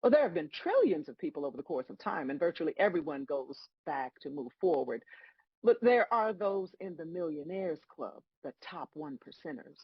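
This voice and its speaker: A woman playing God as a wry, patient, bemused celestial judge, explaining things in a measured way at a table read of a comedy. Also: sits low, sing-song, slightly rough, no echo, lo-fi sound, full character voice.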